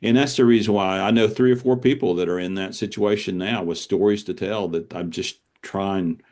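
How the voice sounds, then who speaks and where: A man's voice: deep voice, steady tone, moderate pace, darkish tone. An older man speaking as an author being interviewed, earnest and reflective, repeating words for emphasis.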